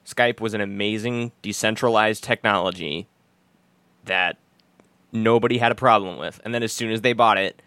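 A man's voice: on the low side, normal speed, pushed and bright, clean tone, no room sound. A man co-hosting a podcast in casual, irreverent banter, joking and ranting with plenty of profanity and sarcasm. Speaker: podcast co-host.

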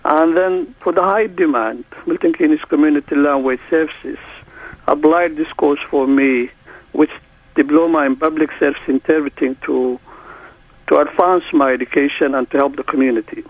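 A man whose first language is Somali, a court interpreter speaking as a guest in a halting way, with many restarts. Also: a little high, breathy edge, no echo. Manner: halting, restarts often, non-native phrasing. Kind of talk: phone interview. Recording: tinny phone line.

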